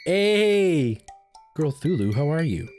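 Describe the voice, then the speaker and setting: A young man from Canada chatting casually while live streaming a game, expressive and prone to repeating himself for emphasis. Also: a little high, takes it slowly. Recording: music playing behind the voice.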